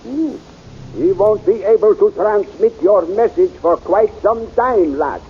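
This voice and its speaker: A man playing an eccentric older scientist in an old radio serial, brisk and matter-of-fact. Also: sits high, lively intonation, breathy edge, lo-fi sound, full character voice. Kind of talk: 1930s radio acting.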